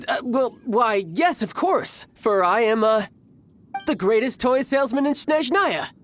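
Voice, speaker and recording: Cocky young game warrior, smooth talker, very animated pitch, kinda smug and theatrical. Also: male voice, measured pace, slight background noise, full character voice. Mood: flustered bluffing. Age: young adult. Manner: smooth-talking, smug, formal wording. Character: cocky, theatrical young male warrior posing as toy salesman. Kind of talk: video game voice acting.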